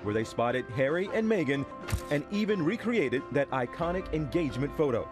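Male news-feature narrator, animated and slightly high-pitched, polished script read over soft music. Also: normal speed.

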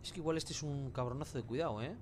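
A man's voice: medium pitch, rapid-fire, noisy background. A man with an accent from Spain giving casual, colloquial gameplay commentary with mild swearing. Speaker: gamer, let's play host.

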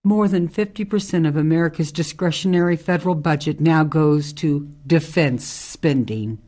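A woman playing a tough, outspoken ex-military forest ranger in a radio drama, speaking in a measured, emphatic way. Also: sits low, warm tone. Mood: grave, critical.

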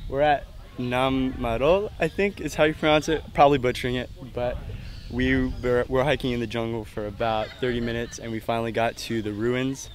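A young man casually narrating a travel vlog outdoors, relaxed and slangy, talking into a handheld camera. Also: mid-range voice, brisk. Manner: laid-back, casual slang. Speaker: vlogger. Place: outdoors on a tropical island.

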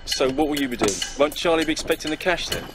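An actor playing a gangster's loyal, wary friend in a video game, cautious and matter-of-fact. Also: male voice, a little high, quick pace, over loud music, close to an everyday voice. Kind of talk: video game cutscene acting.

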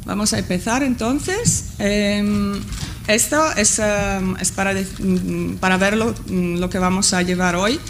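A woman's voice: mid-range voice, a little slow, a little hiss or hum. Italian-native woman instructor, 30s, hesitant and filler-heavy, conference table mic. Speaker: course instructor. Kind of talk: tutorial presentation. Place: conference room, seated at panel table. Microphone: table microphone.

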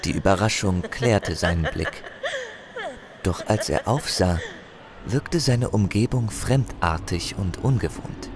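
A man narrating a fantasy audio drama in a solemn, measured, expressive storytelling manner. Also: low voice, a crowd in the background. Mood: solemn, awed. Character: solemn male narrator of a barbarian saga.

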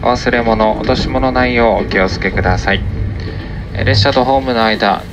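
A man's voice: mid-range voice, moderate pace, music in the background. A man working as a train conductor, giving polite, formal onboard announcements with the occasional hesitation.

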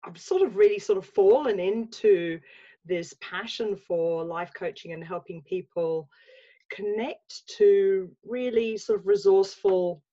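A woman's voice: medium pitch, mellow, muffled recording. A woman in her sixties with an English accent, talking reflectively as a guest on a video call from her home, with many restarts. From England. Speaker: guest, life coach. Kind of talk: casual video-call interview. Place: home room with bookshelves. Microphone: laptop webcam mic on video call.

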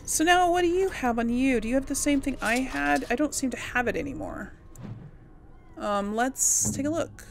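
A woman's voice: sits high, lively intonation, moderate pace, vocal fry, slight background noise. A woman chatting casually through a game playthrough, thinking aloud to herself as she plays. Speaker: gamer hosting a role-play playthrough.

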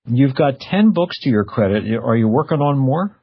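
A man's voice: mid-range voice, lively intonation, moderate pace, slightly rough. A man hosting a radio show, interviewing in a casual, conversational style. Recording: narrow, phone-like radio sound.

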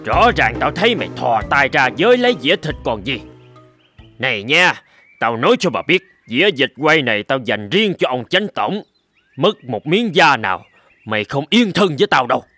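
A rich, middle-aged village bully in a cartoon folk tale. He is scornful and quick to anger, scolding in a mid-pitched male voice. Music plays clearly behind him. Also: sing-song, bright, forceful tone, no room sound. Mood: angry, sneering. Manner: sneering, scolding.

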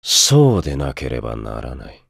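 An actor playing a cold, menacing aristocratic prosecutor in a game, speaking in a haughty, sneering way. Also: male voice, rumbling low, huge pitch swings, normal speed, creaky, breathy edge, dry recording, clean studio sound, heavily acted. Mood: contemptuous. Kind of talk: game voice clip. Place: studio.

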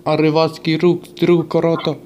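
A man with a somewhat high voice hosts a casual prank chat. He jokes in slang and slips between two languages. The amateur recording carries some light noise. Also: completely flat delivery, normal speed, highs cut off. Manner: joking, slangy, switches between English and Spanish. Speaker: host. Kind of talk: casual prank chat over a walkie-talkie app. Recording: noisy amateur home recording.

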